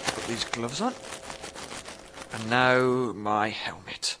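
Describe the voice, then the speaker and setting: An actor playing a lonely, jittery British astronaut in a radio drama, muttering haltingly to himself. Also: male voice, medium pitch, heavy background noise, close to an everyday voice. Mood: methodical, muttering. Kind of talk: internet radio drama monologue.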